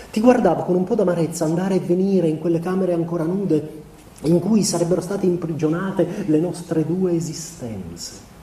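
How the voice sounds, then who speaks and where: An actor playing a melancholy young husband in a radio theatre monologue, confessing by letter to his wife in a measured, deliberate way. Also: a little high, dark tone, natural voice. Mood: weary melancholy. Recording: roomy, distant stage recording with background noise.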